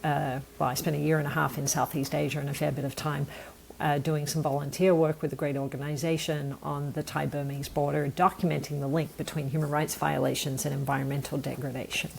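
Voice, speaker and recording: A woman's voice: sits low, level tone, fairly quick, vocal fry, slight background noise. A woman from Australia with an Australian accent, telling her story as a guest in an earnest, reflective way. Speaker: nonprofit founder, podcast guest. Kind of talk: conversational interview answer.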